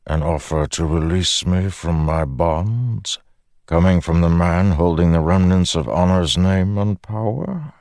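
A man voicing an ancient malevolent god in a fantasy audiobook, smiling, paternal and patronizing, with unhurried theatrical delivery. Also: deep voice, a little mellow, no room sound, studio quality, pitched above the performer's own voice. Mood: quietly intent.

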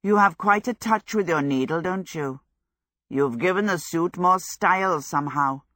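A woman's voice: on the low side, moderate pace, a bit bright, no room sound, studio quality, close to an everyday voice. A woman voicing a vain, dashing young male wizard with an airy, self-satisfied manner, in a storybook reading.

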